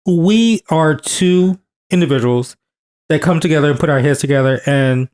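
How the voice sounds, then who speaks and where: A man's voice: fairly high pitch, normal speed, darkish tone. A man in his thirties co-hosting a podcast, talking earnestly and repeating phrases for emphasis as he restarts his sentences. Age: 30s. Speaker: podcast co-host. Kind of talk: casual podcast conversation.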